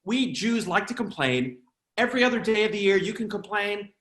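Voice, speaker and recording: A man's voice: high voice, animated, brisk, a bit bright, reverberant. An American man with a jokey, chatty manner, hosting an online celebration as emcee and comedian. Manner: jokey, chatty, playful asides. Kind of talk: comic hosting of an online event.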